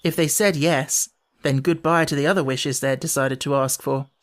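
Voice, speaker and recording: A woman's voice: deep voice, fairly even intonation, moderate pace. A woman reading a children's audiobook as its narrator, in scripted storybook narration.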